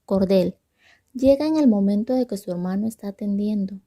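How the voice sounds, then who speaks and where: Young woman, very mellow dark tone, mid pitch, scripted storytelling. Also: moderate pace. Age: young adult. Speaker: student narrator.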